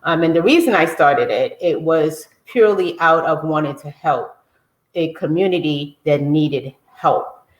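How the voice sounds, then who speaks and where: A woman's voice: low voice, unhurried, roomy sound, low-quality recording. A woman raised in Guyana, hosting a podcast and telling her story in an earnest, conversational way. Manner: earnest, storytelling, some restarts. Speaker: podcast host. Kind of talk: casual conversational podcast talk.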